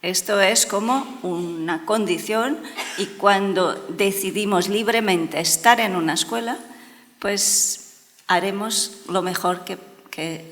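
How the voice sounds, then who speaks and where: Brazilian woman, slow and earnest. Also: mid-range voice, fairly bright tone, a little hiss or hum. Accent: Brazilian Portuguese. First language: Portuguese. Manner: earnest and emphatic, repeats words for stress. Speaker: education speaker and consultant. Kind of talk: conference talk. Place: large conference hall. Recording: long hall reverb.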